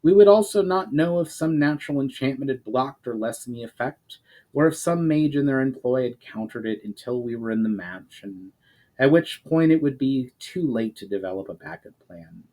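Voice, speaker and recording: A man's voice: mid-range voice, lively intonation, normal speed, mellow, close to an everyday voice, poor audio. A man voicing an earnest mage in a storybook reading, explaining things in a careful, formal manner. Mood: careful, serious. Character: earnest male mage explaining magical limits.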